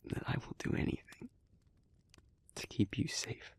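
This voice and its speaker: A man playing an earnest, analytical demon in an intimate audio roleplay, speaking in a hushed, breathy, measured and deliberate way. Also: no echo, in a whisper, close to an everyday voice. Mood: pleading, tender. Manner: measured, deliberate, halting, formal wording. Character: earnest, analytical male demon, gently devoted and restrained.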